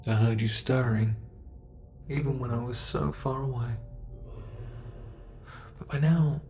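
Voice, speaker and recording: A man's voice: medium pitch, fairly even intonation, a little slow, darkish tone, soft background music, close to an everyday voice. A man voicing an ancient monster in an ASMR roleplay, a smug and possessive captor who talks in a theatrical, teasing, formal way. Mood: teasing, sly. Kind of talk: ASMR roleplay, scripted monologue.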